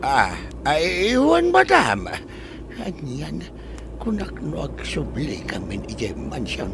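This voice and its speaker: A man's voice: fairly high pitch, huge pitch swings, slow, heavy background noise, exaggerated voice. A Filipino man playing a meek, flustered farm worker in a comedy radio drama, hesitant and grumbling. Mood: flustered, deferential. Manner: hesitant, stammering deference.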